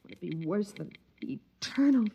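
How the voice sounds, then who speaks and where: An actress playing a dreamy, despairing wife in a 1950s radio drama, wistful and theatrical, with a hushed, breathy voice. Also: female voice, on the low side, very animated, moderate pace, full character voice. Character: dreamy, despairing wife shut in a post-war shelter.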